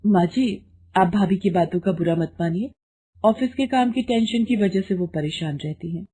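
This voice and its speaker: A woman plays a sweet, dutiful young daughter-in-law in a storybook family drama. She speaks gently and respectfully, with a mellow, slightly husky tone. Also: medium pitch, normal speed, close to an everyday voice. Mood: soothing.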